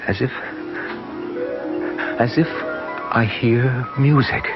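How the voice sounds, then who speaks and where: A man's voice: medium pitch, steady tone. A man playing a bewildered, haunted husband in a 1970s radio drama, speaking hesitantly. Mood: dazed. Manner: hesitant, halting. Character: bewildered, haunted husband hearing strange music. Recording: muffled old radio broadcast, loud music.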